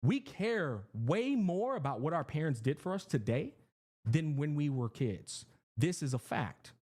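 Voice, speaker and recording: A man in his thirties talks to his stream chat with a high-set voice. His pitch swings widely from line to line. He is emphatic and profane, hammering each point home. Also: sing-song, a little slow, darkish tone. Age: 30s. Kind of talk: livestream commentary to chat.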